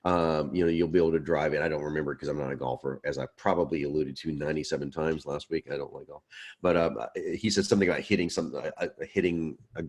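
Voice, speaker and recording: A man's voice: extremely low pitch, fairly even intonation, quick pace, darkish tone, slightly rough. A male instructor leading an online question-and-answer class in a chatty, joking way, laughing often and telling stories.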